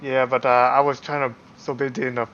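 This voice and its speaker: A woman reacting live on a game stream. Her voice is extremely low, and she talks in halting, casual asides through a somewhat muffled microphone. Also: flat delivery, fairly bright tone. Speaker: game livestreamer.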